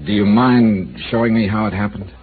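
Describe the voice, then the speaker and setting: A man's voice: lowish voice, normal speed, lightly acted. An actor playing a dry, persistent male insurance investigator in a 1950s radio drama, asking pointed questions with a wisecracking edge. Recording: tinny old radio broadcast with hiss.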